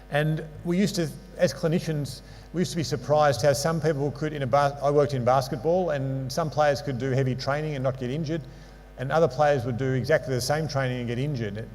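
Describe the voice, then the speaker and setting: A man's voice: medium pitch, a little mellow. A man giving a conference lecture on sports medicine with slides, explaining concepts patiently and adding dry asides. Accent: non-local English accent. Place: lecture hall. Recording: hall reverb with mains hum.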